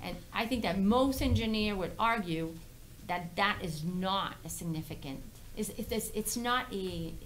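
A middle-aged woman speaks as a city official at an indoor public meeting. Her voice sits at a medium pitch and she argues her points insistently, often asking a question and answering it herself. There is faint noise on the meeting recording. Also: unhurried, hardly any pauses, low-quality recording. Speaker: city official presenting to a planning board. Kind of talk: explanatory meeting discussion. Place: indoor municipal meeting room.

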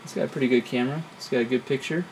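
A man's voice: mid-range voice, rapid-fire, darkish tone, slight background noise, rough recording. A man in his thirties giving a casual hands-on tech demonstration as a video host. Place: home lab room, indoors.

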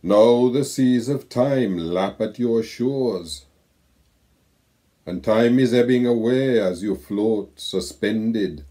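An older man from Saint Kitts and Nevis reading his own poetic letter aloud in a slow, measured way, recorded on a webcam at home. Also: lowish voice, mellow. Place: home room with bookshelves.

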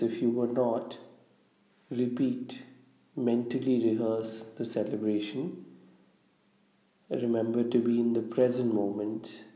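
A man leading a guided visualization, giving instructions in a deliberate, measured way with long pauses. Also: medium pitch, mellow. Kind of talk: guided meditation, slow instructional narration. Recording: roomy, echoing room sound.

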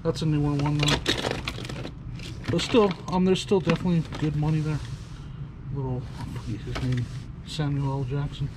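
A middle-aged man with a slightly raised pitch chats upbeat as he sorts through boxes, thinking aloud about what each item is worth. He is recorded on a head-mounted action camera inside a metal storage unit. Loud noise runs under his voice. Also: steady tone, moderate pace, long pauses. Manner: chatty, upbeat, thinking aloud while sorting items. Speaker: storage locker reseller. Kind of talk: casual unboxing commentary.

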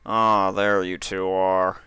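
A man voicing a fussy male pony official with a slow, formal, deadpan delivery. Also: low-pitched, clear, resonant tone, no echo, exaggerated voice.

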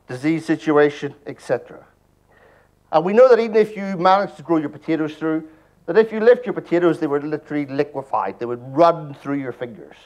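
A middle-aged man with an Irish accent gives a conference talk with slides, dropping wry jokes as he goes. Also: on the high side. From Ireland. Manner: joking, wry asides. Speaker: conference speaker. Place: large presentation hall.

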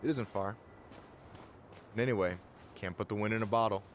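An actor playing an easygoing, supportive young friend in a video game drama, speaking casually. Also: male voice, on the low side, fairly quick, close to an everyday voice. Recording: clear outdoor ambient and vehicle noise.

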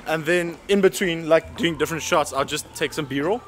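A man's voice: a little high, moderate pace. A man in his thirties with a South African accent, chatting casually as a photographer vlogging while he walks. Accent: South African English. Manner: relaxed, chatty, walking while filming. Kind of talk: casual vlog chat. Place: busy city street outdoors. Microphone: handheld camera at arm's length. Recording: loud street traffic noise.

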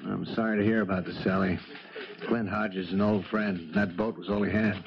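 An actor in a 1970s radio drama playing a plain-spoken, inquisitive police detective, terse and questioning. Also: male voice, sits low, fairly even intonation, moderate pace, vocal fry, over music. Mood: puzzled. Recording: muffled 1970s radio recording.

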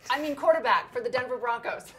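A woman's voice: high voice, fast talker, a little hiss or hum. A woman hosting a TV interview, asking upbeat questions. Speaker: TV interview host.

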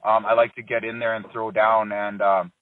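A man in his thirties, a fighter being interviewed, talking in a relaxed, rambling way full of fillers and restarts. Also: on the low side, moderate pace, no echo. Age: 30s. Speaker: MMA fighter, interview guest. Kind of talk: casual phone interview. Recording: dry tinny phone line.

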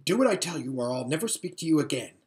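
A woman's voice: low-pitched, lively intonation, moderate pace. A woman voicing a serious, commanding runaway young noblewoman in a storybook, urgent and insistent. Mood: furious.

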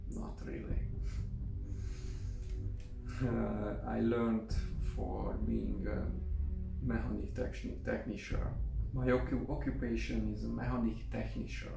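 A young man from Hungary with a Hungarian accent, talking to the camera in a casual, halting way at home. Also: lowish voice, animated, vocal fry, roomy sound, far from the mic, over music, low-quality recording. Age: young adult. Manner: halting, careful, with restarts. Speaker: vlogger. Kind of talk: casual vlog monologue. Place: room at home, indoors. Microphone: camera mic at a short distance.

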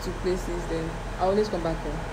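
Animated young Nigerian woman telling stories. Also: fairly low pitch, brisk. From Delta State, Nigeria. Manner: animated storyteller, dramatic quoting, mixes in pidgin. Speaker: guest. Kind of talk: casual chat. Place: indoor room, seated before curtains. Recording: room with air conditioner hum.

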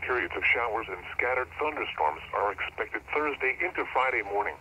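A man's voice: medium pitch, expressive, a little fast, a loud crowd. A synthetic American male announcer reading an automated weather forecast through a radio speaker. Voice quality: synthetic, artificial. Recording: dull noisy handheld radio speaker.

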